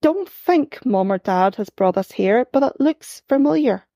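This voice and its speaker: A woman reading her own first-person story aloud at a measured pace, gently expressive, lifting her voice for lines of dialogue. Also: a little high, no room sound, clean studio sound.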